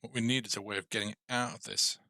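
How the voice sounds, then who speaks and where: A man's voice: on the low side, fairly even intonation, fast, sharp tone, no echo, clean studio sound. A man casually thinking aloud as he hosts a game coding tutorial livestream. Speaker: game development tutorial host.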